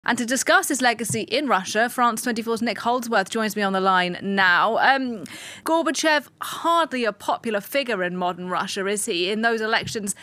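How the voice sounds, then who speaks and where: A woman's voice: on the high side, normal speed, sharp tone, no room sound. A woman news anchor putting questions to a guest in a broadcast interview.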